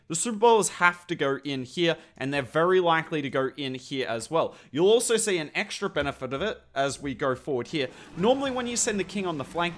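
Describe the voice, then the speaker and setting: A man commentating a strategy game over footage, enthusiastic and conversational, with a slightly hoarse voice. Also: sits high, fairly bright tone, a little hiss or hum. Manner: enthusiastic, conversational, points things out to viewers. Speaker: gameplay commentator.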